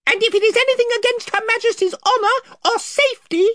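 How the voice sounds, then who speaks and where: A man's voice: quick pace, fairly bright tone, no echo, studio quality. A man voicing a proud, valiant little male mouse knight who speaks in a bold, formal manner. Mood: fierce. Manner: bold, formal, high-flown. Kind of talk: audiobook character voice.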